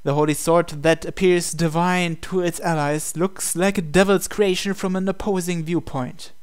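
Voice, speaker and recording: Male gaming host, visual novel read-aloud, slightly high pitch, crisp smooth tone. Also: fairly even intonation, moderate pace.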